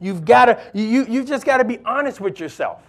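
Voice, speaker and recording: A man's voice: very high voice, lively intonation, fairly quick, fairly bright tone. A male pastor preaching a sermon, emphatic and exhorting, repeating key phrases for weight.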